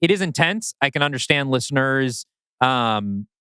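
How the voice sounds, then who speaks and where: A man's voice: mid-range voice, animated, normal speed, sharp tone, clean tone, no room sound, clean studio sound. A man co-hosting a podcast in casual discussion. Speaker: podcast co-host.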